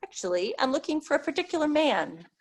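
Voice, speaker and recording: A woman's voice: high voice, sing-song. Woman reading as a discreet young priest. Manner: measured, discreet.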